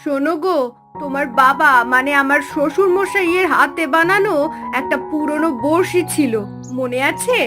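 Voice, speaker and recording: Casting: actress as homely rural wife, high voice, coaxing and supportive, cartoon story, music clearly behind. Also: female voice, moderate pace, close to an everyday voice. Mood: encouraging. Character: encouraging village wife and mother. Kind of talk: Bengali cartoon story acting.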